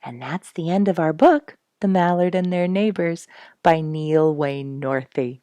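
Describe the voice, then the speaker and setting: A woman reading a children's animal story in an expressive, scripted storytelling style, with a husky, creaky voice. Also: low voice, measured pace, dry recording. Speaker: storybook reader and host.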